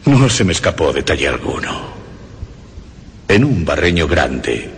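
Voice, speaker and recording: An actor playing a nervous, obsessive mad murderer in a radio horror monologue, speaking in dramatic, halting phrases. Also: male voice, rumbling low, very animated, exaggerated voice. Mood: manic pride. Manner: dramatic, halting phrases swinging from hushed to frantic. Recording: long hall reverb, faint background noise.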